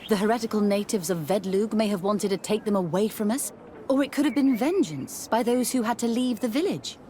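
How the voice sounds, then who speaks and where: A woman playing a busy, formal religious scholar in charge, brisk and composed, in a video game. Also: medium pitch, warm tone, a little hiss or hum, close to an everyday voice. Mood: concerned. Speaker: supervisor of theologians.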